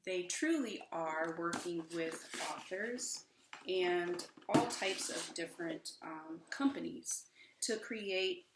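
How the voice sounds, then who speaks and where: A woman's voice: fairly low pitch, noisy background. A middle-aged American woman, a children's author, explaining casually to camera at home. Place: small room indoors at home. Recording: roomy indoor echo.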